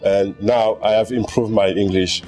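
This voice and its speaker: Young male student, Central African accent, lowish warm voice, interview testimonial with soft guitar underneath. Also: steady tone, measured pace. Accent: Central African French. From Cameroon, of Congolese descent. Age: young adult. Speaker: accounting student. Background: guitar music.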